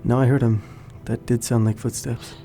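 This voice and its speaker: An actor plays a man who is a caring, skeptical friend in an audio drama. His voice is gravelly and medium in pitch, casual and gently teasing, with soft music beneath. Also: little pitch movement, normal speed, lightly acted. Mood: sympathetic.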